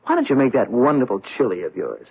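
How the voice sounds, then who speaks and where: An actor playing a genial middle-aged husband in a radio drama, stammering and breezy, often chuckling. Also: male voice, medium pitch, sing-song, fast, vocal fry, slightly rough, exaggerated voice. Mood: amused. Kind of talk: 1970s radio drama acting. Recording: tinny old radio broadcast.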